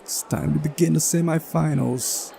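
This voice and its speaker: A man's voice: on the high side, steady tone, normal speed, creaky, warm tone, slight background noise, voice pushed up. A man voicing an officious young male tournament organizer in a visual novel, announcing in a commanding manner.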